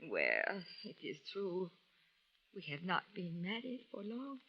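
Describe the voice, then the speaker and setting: A woman's voice: lowish voice, wildly swooping pitch, takes it slowly, creaky, breathy edge, full character voice. A woman playing an anxious, devoted wife in an old radio drama, pleading in careful, formal sentences. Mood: disbelieving, troubled. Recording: dull old radio recording.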